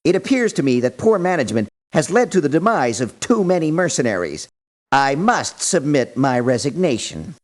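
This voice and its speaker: A stuffy polite game merc, wordy n disapproving, highish voice that moves around a lot. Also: male voice, very animated, moderate pace. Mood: formal reproach. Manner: formal, wordy, precise, reproachful. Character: prim, principled, formal male mercenary, politely disapproving. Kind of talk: video game character voice lines.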